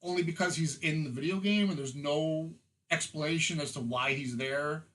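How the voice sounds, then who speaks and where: A man's voice: fairly high pitch, normal speed, a bit bright. A man chatting informally as a podcast panelist, giving his opinions in a casual, conversational way. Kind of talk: casual opinionated film and game chat.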